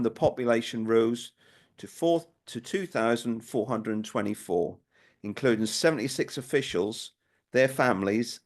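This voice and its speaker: An older man, in his sixties or beyond, with a Norwich accent, giving a prepared history talk in a measured, rhetorical way. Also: medium pitch. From Norwich, England. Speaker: local history speaker.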